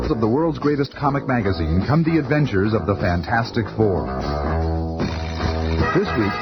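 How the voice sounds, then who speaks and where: A male announcer delivers a dramatic seventies radio show opening in a medium-pitched voice. Loud music plays behind him on a dull, band-limited recording.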